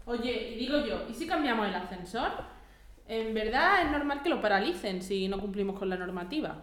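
A woman's voice: on the high side, animated, fairly quick, fairly bright tone, slight background noise. A woman with a Spanish accent from Spain playing a suspicious, insistent, scandalised neighbour in a radio drama. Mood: complaining. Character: indignant, suspicious female neighbour, stirring up trouble. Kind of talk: acted community radio drama. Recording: roomy, echoing sound.

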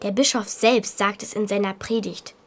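A woman's voice: mid-range voice, fairly even intonation, moderate pace, natural voice. A female performer playing an eager, stubborn, clever little medieval girl who reasons persistently, in a German radio drama. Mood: eager, insistent.

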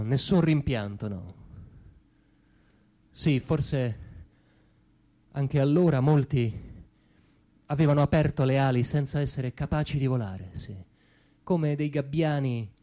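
A man performing a theatrical spoken monologue, declamatory, with insistent repetitions and deliberate pauses. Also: mid-range voice, dark tone. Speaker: stage actor performing monologues. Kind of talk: theatrical spoken monologue over music, in the teatro-canzone manner. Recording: guitar music behind the voice. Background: plucked guitar music.

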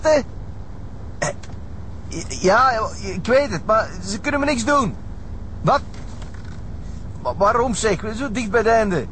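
An agitated, defiant criminal talking irritably into a phone in a TV drama. The voice is high and stammering, over some rumbling vehicle noise. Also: male voice, a bit bright, exaggerated voice. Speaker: actor. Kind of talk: TV crime drama acting.

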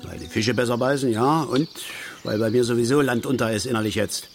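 An actor playing a middle-aged East German working-class angler, blunt, folksy and grumbling, in a naturalistic radio play. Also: male voice, medium pitch, brisk, soft background music, lightly acted.

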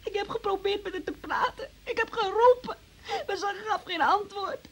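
A woman's voice: very high voice, moderate pace. An actress in a radio drama playing a frightened young woman under police questioning, tearful, faltering and pleading. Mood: distressed, faltering.

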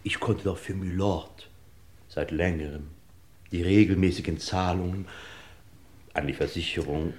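A deferential adviser with a fairly low voice. He speaks hesitantly and confidingly, in the polite style of 1950s radio drama. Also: fairly even intonation, unhurried, long pauses, darkish tone. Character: deferential, confiding male business adviser to a lord. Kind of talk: 1950s German radio play acting. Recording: 1950s radio play recording.

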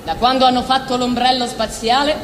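A woman's voice: high voice, steady tone, a little fast, natural voice. A woman playing an earnest, pompous consoler who spouts platitudes and repeats words for effect in a comic stage dialogue. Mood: earnest lamenting. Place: stage at a live benefit evening. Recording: echoey live hall, clear noise.

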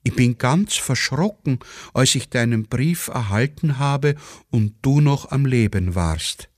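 Guy reading as naive village wife, slow halting pleading letter, radio play, dry studio. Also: medium pitch, expressive, no echo. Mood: naively apologetic.

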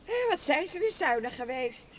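Sceptical grumbler, radio play. Raised pitch, distant from the mic, clear background noise. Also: female voice, very animated, moderate pace. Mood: scornful. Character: grumbling, sceptical, scornful woman. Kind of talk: Dutch radio play acting. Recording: distant, noisy old radio drama.

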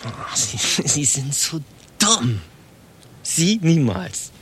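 An actor playing a pompous, condescending administration chief in a satirical radio play, biting and exasperated. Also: male voice, fairly high pitch, sing-song, normal speed, sharp tone, a little hiss or hum. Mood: grave, contemptuous.

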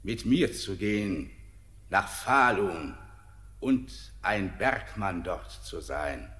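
An actor playing a mysterious, commanding old miner who guides from another world, terse, grave and insistent, in a solemn radio drama. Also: male voice, on the low side, expressive, slow pace, fairly bright tone.

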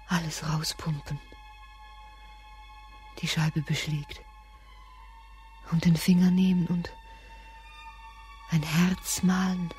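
An actress playing a lonely, anxious, bitter woman in a radio drama, whispering in halting fragments. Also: low-pitched, a little mellow. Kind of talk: literary radio drama monologue and dialogue.

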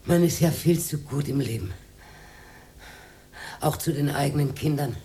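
A woman's voice: low voice, a little slow, dark tone, a little hiss or hum. An actress playing a lonely, stubborn older working-class woman with an Austrian accent, speaking plainly in a radio drama. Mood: resigned. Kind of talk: radio drama acting, colloquial.

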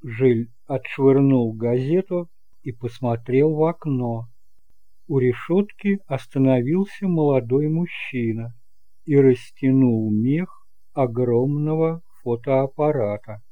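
Мужчина читает художественный текст как рассказчик аудиокниги. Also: male voice, medium pitch, slow pace, no room sound, narrow, tinny audio. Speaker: audiobook narrator. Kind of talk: scripted literary narration.

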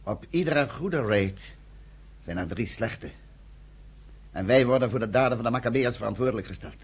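An actor playing a weary, grave underground army commander in a radio drama, with a husky, gravelly voice. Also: male voice, medium pitch, animated, moderate pace. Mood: troubled, firm.

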